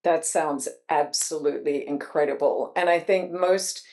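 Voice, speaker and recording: A woman with a low-pitched voice hosts the interview. She reacts with enthusiasm and then asks long, winding questions, restarting often and filling the gaps with ums. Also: fairly even intonation, measured pace, darkish tone. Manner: enthusiastic, rambling questions with fillers. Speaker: host.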